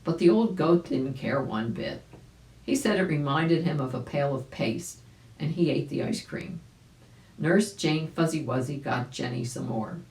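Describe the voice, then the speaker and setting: An older woman around her seventies reading a children's story aloud, expressively and deliberately, indoors a few feet from the camera. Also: low-pitched, darkish tone. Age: older, about 70s. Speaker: volunteer storybook reader. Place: small indoor room at home. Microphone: camera a few feet away. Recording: roomy home recording.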